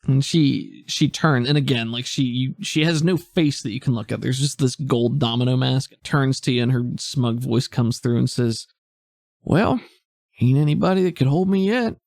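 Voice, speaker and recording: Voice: mid-range voice, fairly even intonation, normal speed, creaky, hardly any pauses, a little mellow, no room sound, clean studio sound. A game master casually narrating a tabletop roleplaying game and explaining its rules.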